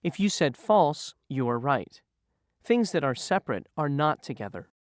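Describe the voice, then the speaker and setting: Lively voice reading review questions. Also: medium pitch, moderate pace, no room sound, studio quality. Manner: poses a choice, then confirms the right answer. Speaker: quiz reader. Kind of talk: lesson quiz narration.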